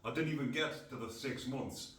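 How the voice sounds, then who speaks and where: A man's voice: medium pitch, normal speed, a bit bright. Blunt man, echoey distant mic. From North East England. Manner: blunt, rambling storyteller. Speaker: podcast host. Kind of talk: casual podcast chat.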